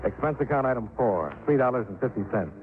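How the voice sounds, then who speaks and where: An actor playing a dry, wisecracking insurance investigator who narrates a 1950s radio drama. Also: male voice, mid-range voice, animated, quick pace, noisy background, lightly acted. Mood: narrating. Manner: dry, wisecracking, matter-of-fact. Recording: tinny old radio broadcast with music.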